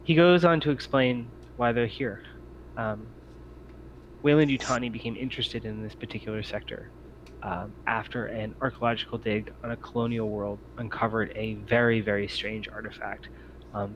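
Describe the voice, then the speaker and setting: Male game master, lowish voice, improvised scene-setting with halting phrasing, dull recording with faint noise. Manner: hesitant, piecemeal phrasing with many ums. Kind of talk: improvised narration of a tabletop game. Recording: muffled lo-fi audio with background noise.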